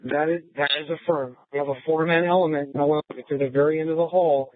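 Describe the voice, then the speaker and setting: A man's voice: fairly high pitch, steady tone, fast, no echo. A male police officer calling in reports over police radio. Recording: tinny, band-limited police radio scanner.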